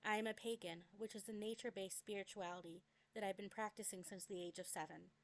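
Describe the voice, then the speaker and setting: A young adult woman reads her own memoir aloud as a scripted dramatic narration, with a deliberate, expressive delivery. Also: mid-range voice. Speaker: memoir author reading own work.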